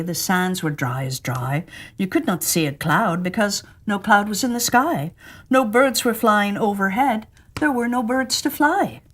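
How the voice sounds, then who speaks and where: Casting: female storyteller for children's verse, highly varied intonation, theatrical delivery, mid-range pitch.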